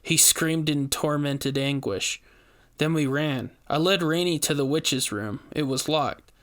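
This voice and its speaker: A man's voice: fairly high pitch, a little slow, fairly bright tone. A man giving a scripted first-person narration of a horror story, as its narrator.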